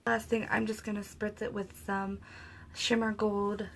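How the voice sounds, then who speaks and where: A woman hosting a soap-making video, talking softly and casually because her throat is sore and she is losing her voice. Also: medium pitch, level tone, measured pace, creaky, a little hiss or hum. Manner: soft-spoken, talks quietly. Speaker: soap-making channel host. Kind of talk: casual craft tutorial narration.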